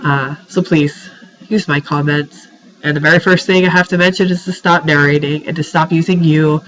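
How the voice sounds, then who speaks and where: A woman's voice: low voice, steady tone, moderate pace, a bit bright, slight background noise, poor audio. A woman teacher with a congested, cold-stuffed voice giving informal recorded feedback to students in an exasperated, pleading, emphatic manner. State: has a head cold. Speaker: writing teacher.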